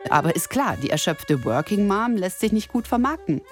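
A woman's voice: medium pitch, big pitch swings, normal speed, soft background music. A woman of thirty-nine delivering an ironic, self-mocking scripted radio monologue in the first person. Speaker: radio columnist and presenter.

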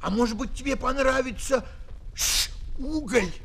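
A man's voice: extremely high pitch, unhurried, gravelly, full character voice. A man voicing a kindly steam locomotive, puffing and hissing between phrases, in a children's audio fairy tale. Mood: sympathetic. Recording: somewhat noisy 1970s flexi-disc record.

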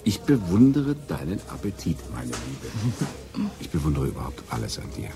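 An actor playing a charming, melancholic middle-aged lover in a radio drama, flattering and teasing in a theatrical way. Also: male voice, low-pitched, normal speed, faint music behind, exaggerated voice. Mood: flattering, tender. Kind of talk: radio drama acting, intimate dialogue.

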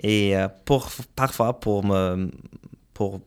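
A man who hosts the podcast and teaches high school French, chatting casually and relaxed, with some fillers and restarts. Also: slow, clean tone. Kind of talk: casual conversation.

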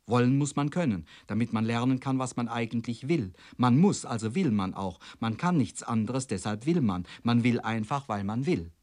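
A man's voice: mid-range voice, lively intonation, a little mellow. Formal man reading a scripted radio lecture. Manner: formal, measured, precisely phrased in long sentences. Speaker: radio drama commentator and lecturer.

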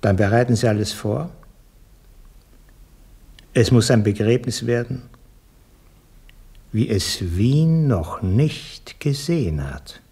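A man acting a dry, cynical senior official in a 1960s radio play, with a very husky voice. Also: medium pitch, lively intonation, slow pace, long pauses, warm tone, full character voice. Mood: cold, solemn.

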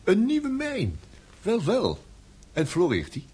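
An actor playing a curious, sociable gentleman in a radio play. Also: high-pitched, huge pitch swings, takes it slowly, slightly rough, exaggerated voice.

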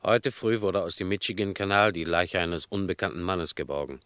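An actor playing a formal newsreader reading press reports in a radio drama. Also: male voice, sits low, normal speed, fairly bright tone, clear, resonant tone, dry recording. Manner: formal, declamatory.

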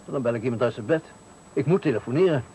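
A man's voice: mid-range voice, animated, fast, warm tone, close to an everyday voice. Radio thriller role: male actor as irritable, uneasy motorist stuck at night, defensive, faintly noisy recording. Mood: tense, impatient. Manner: hesitant at times, testy, defensive. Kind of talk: 1980 Dutch radio thriller acting.

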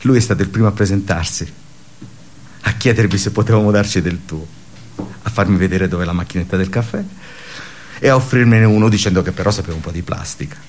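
An actor playing a brooding, darkly ironic office worker in a radio theatre monologue, halting and conversational with emphatic bursts. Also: male voice, sits low, a little mellow, slight background noise, natural voice. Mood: wistful recollection.

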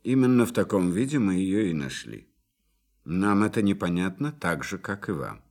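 A man's voice: low voice, big pitch swings, moderate pace, a little mellow, exaggerated voice. An actor in a Russian radio play playing a polite, matter-of-fact male scientist with a courteous, assured manner.

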